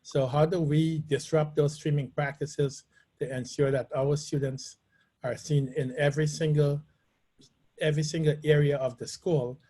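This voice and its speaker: A man, a school principal, speaking in a measured, thoughtful way on a panel discussion. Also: a little high, fairly even intonation, dark tone.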